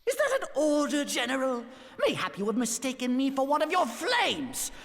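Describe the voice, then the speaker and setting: An actor playing a haughty, scheming lord in a fantasy video game, with pompous, sneering, archaic formal delivery. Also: male voice, very high-pitched, very animated, moderate pace, gravelly, fairly bright tone, full character voice. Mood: sneering contempt. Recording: long hall reverb.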